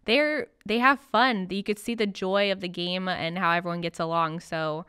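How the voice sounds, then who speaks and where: A woman's voice: fairly low pitch, steady tone, normal speed, a bit bright, clear, resonant tone. A woman hosting an interview in a casual, chatty conversational style. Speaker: interviewer, host.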